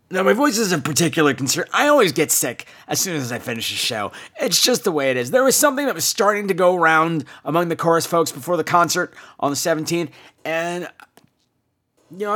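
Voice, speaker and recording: A man with a somewhat high voice, hoarse with laryngitis. He hosts a casual podcast monologue, campy and cheeky, with theatrical flourishes. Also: fairly bright tone. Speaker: podcast host.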